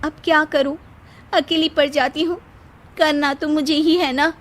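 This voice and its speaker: A woman's voice: high voice, fairly even intonation, a little slow, pitched above the performer's own voice. A woman voicing a scheming young wife in a cartoon moral story, sweet-talking and complaining by turns. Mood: nagging, complaining. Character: scheming, sugary, whiny young wife and sister-in-law.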